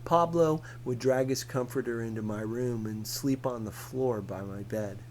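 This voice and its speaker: A man reading a novel aloud as scripted narration, in a measured, deliberate manner. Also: medium pitch, a little hiss or hum. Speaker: audiobook reader.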